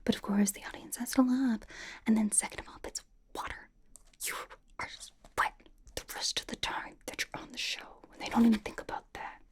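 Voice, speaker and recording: A woman playing a bubbly, chatty young female K-pop idol in an ASMR roleplay, rambling casually and confidingly in a breathy whisper. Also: on the high side, fairly even intonation, fairly quick. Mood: friendly, confiding. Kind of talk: ASMR personal attention roleplay.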